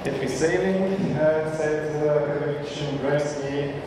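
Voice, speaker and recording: A man's voice: on the high side, a little slow. Stage host, man, formal, distant in a big reverberant hall. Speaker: event host. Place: large auditorium stage. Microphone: headset. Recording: echoey hall, distant, noisy background.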